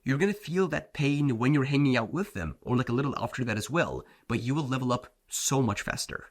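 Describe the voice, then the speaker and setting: A man's voice: medium pitch, expressive, a little fast. A man whose first language is Turkish, a self-improvement coach giving a blunt, slangy motivational talk, often saying "bro" and "like". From Turkey.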